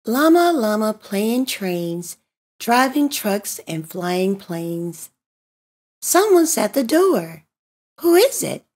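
A woman reading a children's picture book aloud in an expressive, deliberate, sing-song way for young listeners. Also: medium pitch, slightly rough. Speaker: storybook reader and host of a read-aloud channel.

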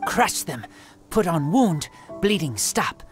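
Terse feral boy, swooping pitch. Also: very animated, takes it slowly. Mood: matter-of-fact. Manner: speaks in clipped broken English. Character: feral young wolf-raised boy, terse and earnest. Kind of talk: video game character acting. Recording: game music behind the voice. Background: game music.

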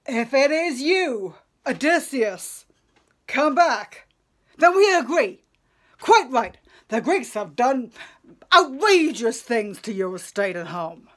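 A frightened, wheedling suitor from an epic storybook, acted by a woman. He is glib and placating, pleading urgently in a high voice. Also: very animated, slow pace, heavily acted.

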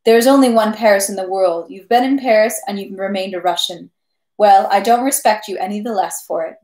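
A woman's voice: mid-range voice, fairly quick, reverberant, close to an everyday voice. A woman voicing a merry, good-natured French army captain who brags ceaselessly about his battles. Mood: expansive. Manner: lively, talks ceaselessly, good-natured bragging. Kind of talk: audiobook character reading.

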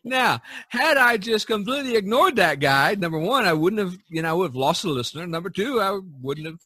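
A man talking casually as a podcast host, halting and repeating himself, often dropping in "you know". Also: sits high, animated, sharp tone, breathy edge.

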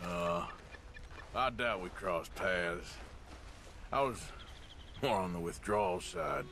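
An actor playing a rugged, patient Wild West outlaw in a video game, with an American accent and a plain, understated, folksy drawl. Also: male voice, deep voice, animated, takes it slowly, creaky, bright, forceful tone, a little hiss or hum, full character voice. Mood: wry, easygoing. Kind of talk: naturalistic video game acting.

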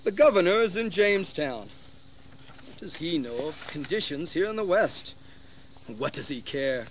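A man's voice: a little high, big pitch swings, normal speed, a little hiss or hum. An actor playing a shrewd colonial frontiersman in a history audio drama, persuading in an insinuating, theatrical way. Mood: goading. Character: shrewd, scheming colonial frontiersman urging rebellion.

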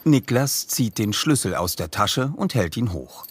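A man's voice: fairly low pitch, big pitch swings, unhurried, husky, heavily acted. A man narrating a children's detective audio play as a scripted storyteller.

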